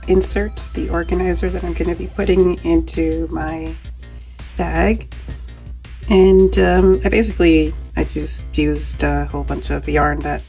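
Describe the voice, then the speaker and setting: A woman's voice: fairly low pitch, gravelly, dark tone. A woman in her thirties narrating a casual craft vlog, informally thinking aloud as she goes. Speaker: crochet crafter and vlogger.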